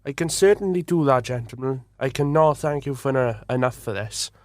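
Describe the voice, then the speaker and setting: A man's voice: mid-range voice, moderate pace, no echo, clean studio sound, natural voice. A man as an earnest, grateful shopkeeper. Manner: earnest, hesitant. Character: grateful, earnest male shopkeeper, crime victim. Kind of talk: comedic radio drama acting.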